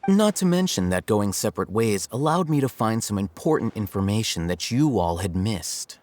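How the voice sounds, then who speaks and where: A man voicing an aloof, coolly logical young scholar in a video game, measured, condescending and matter-of-fact. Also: on the low side, big pitch swings, a little mellow, no echo. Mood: detached. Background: guitar music.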